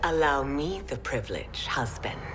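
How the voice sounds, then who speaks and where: Low-voiced, imperious warrior empress. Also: female voice, animated, normal speed, over music, low-quality recording. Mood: scornful. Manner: imperious, formal. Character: ruthless warrior empress, proud, scheming consort of a tyrant. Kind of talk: dramatic game cutscene acting.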